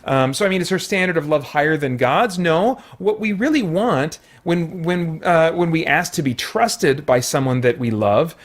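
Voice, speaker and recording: A man's voice: on the high side, normal speed, hardly any pauses. A man giving a casual, unscripted movie review in a conversational way, often searching for words.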